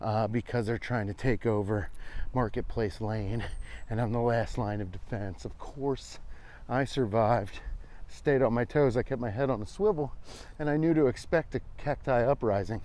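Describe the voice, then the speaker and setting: A man's voice: medium pitch, moderate pace, darkish tone. An American man, around his forties, chatting casually and irreverently as he hosts a rambling comedic walking vlog, swearing freely. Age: 40s. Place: outdoors, walking on a trail.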